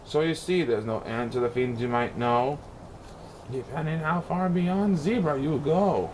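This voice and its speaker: A man reading a rhyming children's story aloud in an expressive, playful, sing-song way. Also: a little high, a little slow, noisy background. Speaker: picture book reader.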